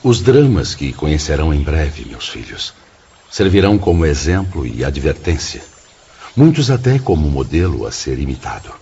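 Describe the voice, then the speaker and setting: An actor playing a fatherly male spirit mentor, grave and instructive, with a raspy voice and Brazilian accent in a radio drama. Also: very deep voice, very animated, normal speed, mellow, highs cut off, a little hiss or hum, heavily acted. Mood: grave teaching. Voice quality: husky, raspy.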